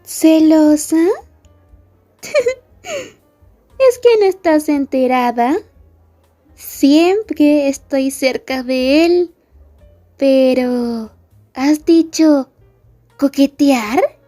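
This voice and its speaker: Woman acting a haughty, high-voiced, drawling bully girl. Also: extremely slow, long pauses, warm tone, faint music behind. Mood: teasing, smug. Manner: taunting, drawling, giggly sarcasm. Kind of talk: Spanish-language ASMR roleplay acting.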